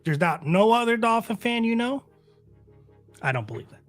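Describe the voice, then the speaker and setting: A man's voice: very high voice, big pitch swings, normal speed, faint music behind. A man co-hosting a casual fan podcast, riffing with jokes he makes up on the spot. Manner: jokey, riffs with made-up bits. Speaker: podcast co-host. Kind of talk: casual live podcast chat.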